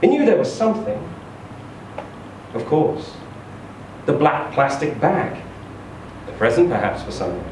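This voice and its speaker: A man reading a short story aloud in an expressive, theatrical, emphatic storytelling style. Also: a little high, measured pace, long pauses. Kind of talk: expressive live literary reading. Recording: echoey room, distant mic, faint noise.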